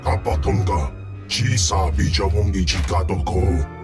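Huge imperious alien crime lord, male, low, ponderous pace, video game cutscene. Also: measured pace, music in the background.

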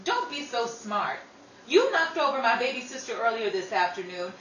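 A woman's voice: on the high side, sing-song, normal speed, fairly bright tone. A woman playing a hot-tempered, protective older sister in a 1930s radio drama, brash, scrappy and slangy. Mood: confrontational. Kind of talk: old-time radio drama acting. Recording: roomy, distant mic, faint noise.

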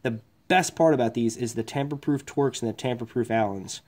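A man in his workshop talks through his work tools in a loose, rambling way. He is frank and swears casually, like an electrician chatting to other tradesmen. His voice sits at an ordinary middle pitch. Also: a little fast, a little mellow. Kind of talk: casual tool review. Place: workshop bench, indoors.

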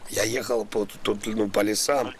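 A young man making a prank call in a deadpan role, rambling with absurd pseudo-formal phrasing. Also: fairly low pitch, moderate pace. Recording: noisy game ambience behind voice.

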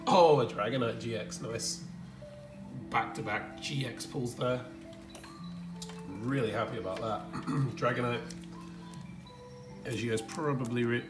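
A hoarse, croaky man hosting his card-opening channel in casual chat, often clearing his throat and pausing with fillers. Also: mid-range voice, echoey room, recorded from a distance. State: croaky, hoarse from screaming at a game. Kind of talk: casual unboxing commentary. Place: indoor room with collectibles on shelves.

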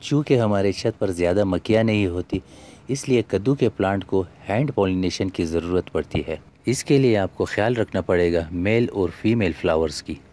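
Low-voiced man narrating. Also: normal speed, warm tone, soft background music. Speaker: gardening video host. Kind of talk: scripted vlog narration of a garden tour.

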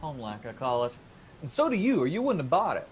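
An actor playing a cheerful, cocky young son in a radio drama, breezy and bantering. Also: male voice, mid-range voice, huge pitch swings, fast, a little hiss or hum.

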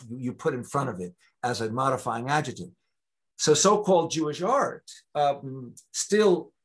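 A man in his sixties, a guest lecturer, answering questions on a video call from a home study, talking in long discursive stretches with frequent restarts. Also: mid-range voice. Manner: discursive, many restarts, animated. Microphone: video call webcam.

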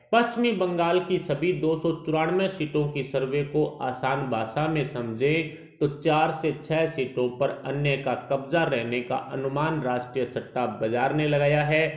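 A man's voice: mid-range voice, normal speed. Echoey male news narrator. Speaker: narrator of an election survey report. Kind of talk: scripted news-style narration. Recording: dull, echoey voice-over recording.

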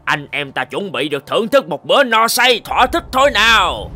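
Man voicing a gloating, animated demon henchman. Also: high-pitched, brisk, exaggerated voice. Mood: gloating, excited. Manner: boastful, bullying, exclamatory. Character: gloating, menacing green demon henchman of the underworld. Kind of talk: cartoon villain acting.

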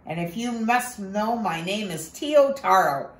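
A woman's voice: medium pitch, animated, unhurried, recorded from a distance, voice pushed up, close to an everyday voice. A woman doing a bossy talking taro root. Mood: smug. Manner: bossy, scolding. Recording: roomy echo.

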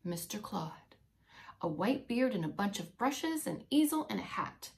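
Expressive woman reading a story, lively pitch swings. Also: a little slow, creaky. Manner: expressive, stopping to explain words. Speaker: preschool teacher. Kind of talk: animated picture-book read-aloud for young children. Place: small indoor room with bookshelf and whiteboard.

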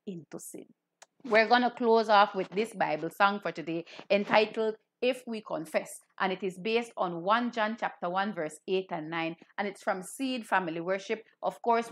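A middle-aged woman from Belize, a minister hosting a children's Bible program, speaking to camera with emphatic, deliberate phrasing. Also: mid-range voice.

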